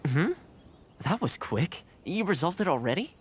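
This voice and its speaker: A poised, knowing game character with a low voice. Her delivery is lively yet composed and obliging, with faint noise behind. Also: normal speed. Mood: composed, helpful. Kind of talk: video game cutscene acting.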